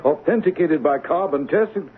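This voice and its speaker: Radio play swindler, male actor: eager, jittery, effusive, lively higher voice on a tinny old broadcast. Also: moderate pace, close to an everyday voice. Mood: anxious, flustered. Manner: excitable, stammering, effusive. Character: eager, anxious swindler with a counterfeit painting. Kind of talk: 1970s radio drama acting. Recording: tinny, faintly hissy 1970s radio recording.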